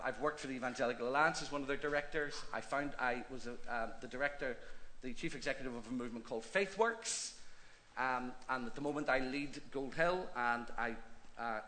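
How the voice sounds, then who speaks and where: Middle-aged Northern Irish pastor, bright. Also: male voice, medium pitch, a little hiss or hum, poor audio. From Northern Ireland. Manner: chatty, self-deprecating, animated. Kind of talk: stage interview and preaching. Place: church hall stage.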